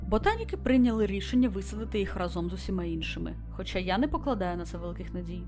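Female starship captain read by a woman, formal and measured log entries for a visual novel, expressive pitch movement, faint music underneath. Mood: grave, reporting. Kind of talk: scripted narration read aloud from a visual novel.

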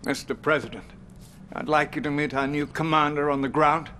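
Highish-voiced British field marshal. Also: male voice, steady tone, brisk, sharp tone, slight background noise. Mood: grave, formal. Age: 60s. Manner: formal, authoritative. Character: dignified older British field marshal, firm and formal. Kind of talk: acted game cutscene briefing.

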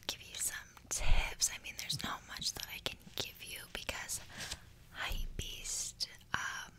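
A young woman whispering an ASMR roleplay close to the microphone in casual, slangy, playfully boastful speech. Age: young adult. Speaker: ASMR creator. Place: small bedroom indoors. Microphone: desk microphone close to the mouth.

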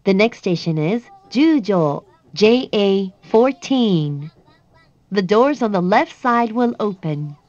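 A woman giving clear recorded English announcements for a train. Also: mid-range voice, animated, very slow, darkish tone, dry recording. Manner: clear, evenly paced announcer delivery. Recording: dull dry sound over train speakers.

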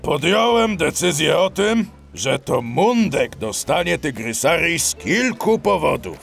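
A bossy, sly dog police chief from a children's radio play. His voice is very creaky and fairly high for a man. He talks slowly, hemming as he goes. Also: very animated, fairly bright tone, faint music behind, exaggerated voice. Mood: authoritative. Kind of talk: children's audio drama acting.

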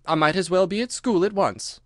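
A man's voice: high voice, brisk, dry recording, clean studio sound, pitched above the performer's own voice, close to an everyday voice. A man voicing a polite, timid and curious young girl in a storybook, with a lilting, wondering manner. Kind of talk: storybook character voice.

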